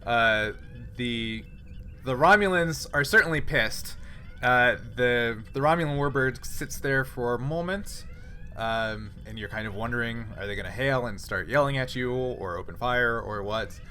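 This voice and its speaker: Bright-voiced man running a tabletop game. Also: medium pitch, animated, a little slow, slight background noise. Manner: informal, conversational. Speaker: game master. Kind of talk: improvised narration and rulings in a tabletop sci-fi game.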